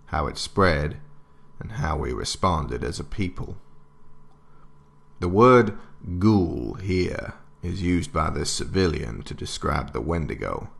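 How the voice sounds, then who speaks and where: A man playing a solemn nineteenth-century government official, reading formal, measured archival narration in an audio drama. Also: low-pitched, vocal fry, breathy edge, exaggerated voice. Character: solemn male intelligence official of 1870s America.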